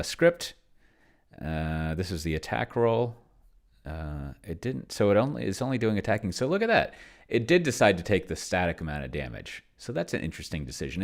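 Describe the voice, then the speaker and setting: A man with a deep voice talks casually through a game on his computer. His pitch moves around a lot as he muses out loud. He rambles, often letting phrases trail off into a mutter. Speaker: tabletop game player and video creator. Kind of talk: casual screen-share commentary, thinking aloud.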